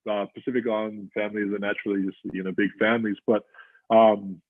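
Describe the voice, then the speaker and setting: A thirty-year-old Australian man, a rugby player, talking as an interview guest in a halting way with plenty of fillers. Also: on the low side, little pitch movement. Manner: halting, filler-heavy storytelling. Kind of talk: casual interview chat. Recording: tinny phone-like line.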